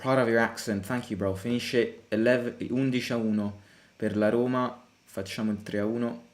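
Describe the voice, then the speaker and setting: A young man from Italy hosting a live football watchalong. He chats casually, answers questions from viewers and breaks into excited reactions to the play. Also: medium pitch, fairly quick. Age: young adult. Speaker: fan channel host.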